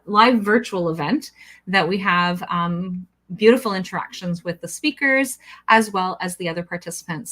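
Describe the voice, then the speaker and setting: A woman with a medium-pitched voice hosts a livestream interview over a video call. She welcomes her audience and guest, with frequent ums between phrases. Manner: warm welcoming, many ums. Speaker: host.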